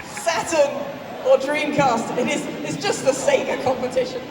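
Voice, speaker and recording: Teasing panel host, high voice, heard from afar in a noisy crowded hall. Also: normal speed. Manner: teasing, joking. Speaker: panel moderator. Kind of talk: live panel hosting, audience votes. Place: large convention hall, live panel. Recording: echoey hall from far off, loud crowd.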